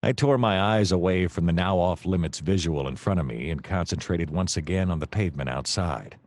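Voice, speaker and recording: Man acting a seedy noir detective, very low voice, dry close sound, wisecracking, deadpan, melodramatic radio-play narration. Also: a little fast, no echo, lightly acted. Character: seedy, self-deluded, wisecracking male noir private detective. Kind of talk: hardboiled noir first-person narration, comedic radio play.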